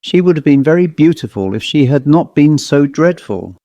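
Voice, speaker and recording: A man's voice: fairly high pitch, animated, normal speed, warm tone, no echo, highs cut off. A man narrating a first-person ghost story as a scripted audiobook reading.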